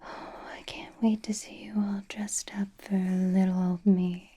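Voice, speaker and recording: Slow, whispering, flirtatious vampire played by a woman. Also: medium pitch, level tone, mellow. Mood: doting, coy. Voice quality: breathy whisper. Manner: coy, theatrical, old-fashioned endearments, lingering phrasing. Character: flirtatious, doting, teasing female vampire companion. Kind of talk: whispered ASMR roleplay.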